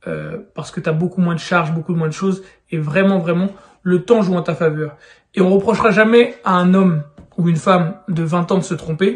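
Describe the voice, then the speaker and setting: A man's voice: fairly high pitch, a little mellow. A man in his thirties giving casual, urgent real estate advice straight to the viewer in a vlog. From France. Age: 30s. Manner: urgent, persuasive, addresses viewer informally. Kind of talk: direct-to-camera advice vlog.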